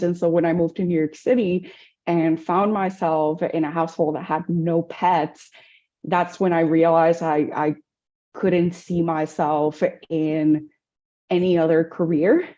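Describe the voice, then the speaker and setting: A woman's voice: lowish voice, dark tone, muffled recording. A woman from the Dominican Republic, a veterinarian guest on a podcast, speaking reflectively and laughing easily. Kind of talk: interview.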